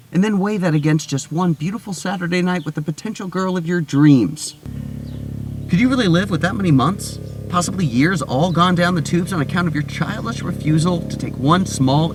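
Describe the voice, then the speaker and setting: Bright, forceful, higher-pitched male actor as grandiose, insistent friend, comic monologue. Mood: insistent, persuasive. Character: overwrought persuasive friend urging a timid man to act.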